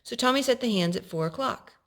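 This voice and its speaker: A woman's voice: fairly high pitch, animated, brisk, fairly bright tone. A woman hosting a storytime, reading a children's picture book aloud and explaining it to a young child. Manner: instructive, addressing a young child.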